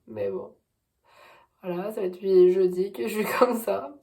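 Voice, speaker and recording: A woman's voice: medium pitch, steady tone, normal speed, gravelly, mellow. A young woman chatting casually in a vlog diary, congested with a cold, talking in a chatty way with lots of fillers and happy repetition. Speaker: vlogger.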